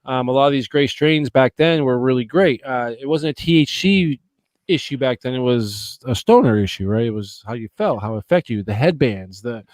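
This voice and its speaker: A man in his forties from the Boston area talks in a loose, rambling way, peppering his talk with swearing and "you know what I mean?". His voice sits at a middling pitch and is recorded close and dry, with little room sound. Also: lively intonation, moderate pace, a little mellow, no echo. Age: 40s. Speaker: podcast guest. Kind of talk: casual chat.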